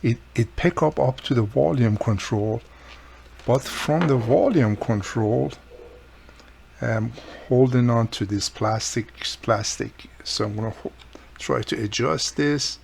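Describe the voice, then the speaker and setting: A man's voice: medium pitch, slow pace, vocal fry, a little mellow, slightly rough. A man giving a casual, hands-on repair walkthrough, explaining informally step by step as he works. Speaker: radio repair hobbyist presenting his own how-to video.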